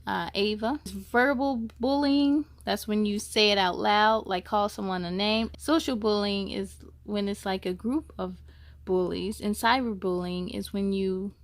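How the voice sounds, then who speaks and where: A woman's voice: mid-range voice, no echo. A woman hosting a children's story time, reading aloud expressively and speaking to young listeners in an encouraging way.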